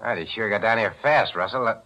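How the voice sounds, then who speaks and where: A man's voice: lowish voice, quick pace, over loud music, natural voice. An actor playing a tough male crook who turns cold killer, in a 1940s radio drama with streetwise slang. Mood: menacing. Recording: muffled old radio broadcast.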